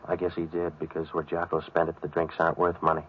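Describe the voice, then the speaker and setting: A male actor voices a cynical American private eye from a 1940s radio drama. His voice sits very low, and he tells the case in clipped, deadpan wisecracks. The sound is narrow and muffled, like an old broadcast. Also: fast talker, a little hiss or hum, natural voice. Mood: wry, cynical. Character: cynical, world-weary hardboiled male private operator narrating a case. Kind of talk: 1940s radio noir narration. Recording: muffled vintage radio recording.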